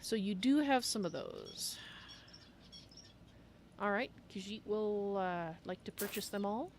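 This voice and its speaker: A woman's voice: mid-range voice, huge pitch swings, slow, slight background noise, full character voice. A woman playing a polite female cat-folk adventurer in a fantasy game roleplay. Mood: polite, curious. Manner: refers to herself in the third person, courteous.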